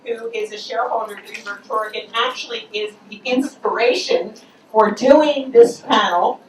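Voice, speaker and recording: A woman's voice: fairly high pitch, moderate pace, slight background noise. A woman introducing the guests of a panel, in a hesitant way with many fillers. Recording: echoey hall, distant mic.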